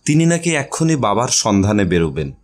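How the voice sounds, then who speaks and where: A man's voice: fairly low pitch, big pitch swings, a little fast, loud music behind the voice. A man reading a literary story aloud as its narrator, in a scripted narration style.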